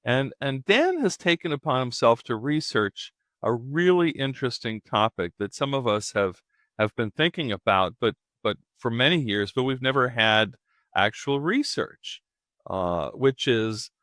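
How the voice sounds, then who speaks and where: A man's voice: mid-range voice, animated, measured pace, fairly bright tone, no room sound, studio quality. A man hosting a podcast, introducing the show and guest in a chatty, rambling way with many fillers. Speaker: podcast host. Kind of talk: casual podcast intro and interview.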